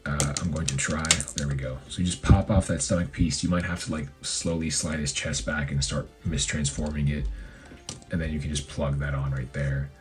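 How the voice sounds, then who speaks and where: A man with an extremely low, hoarse voice talks through a toy review in a loose, meandering way. He fills gaps with ums and likes and corrects himself as he goes. Faint music plays softly behind him. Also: little pitch movement, moderate pace. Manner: rambling, filler-heavy, self-correcting. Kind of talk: casual product review.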